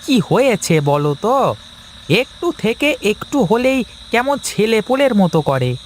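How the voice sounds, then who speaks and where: A man's voice: extremely high pitch, very animated, a little slow, slight background noise, heavily acted. A man voicing an easygoing village husband in a cartoon family story, with an exclamatory, coaxing manner. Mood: placating, exasperated. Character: easygoing village husband who placates his nagging wife.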